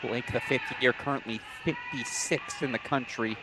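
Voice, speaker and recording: A man doing play-by-play commentary for a college tennis broadcast, brisk and informative, with brief apologetic asides. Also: mid-range voice, fairly even intonation, low-quality recording.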